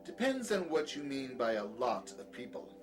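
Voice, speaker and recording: A man's voice: medium pitch, sing-song, moderate pace, natural voice. A man playing a peculiar, condescending doctor with a dry, sardonic manner in an audio drama, secretly sinister. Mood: dryly sardonic. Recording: music behind the voice.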